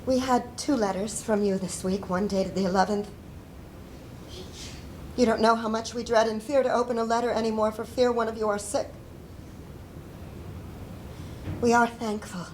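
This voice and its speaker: A devout, mournful mother of the Civil War era , played by an older woman, reads her letter aloud on stage. Her voice is fairly high and very slow, solemn and deliberate with grief. A slight rumble sits behind her. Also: lightly acted, low-quality recording. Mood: lonely, grateful. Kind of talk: dramatic letter reading. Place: indoor stage before a projection screen.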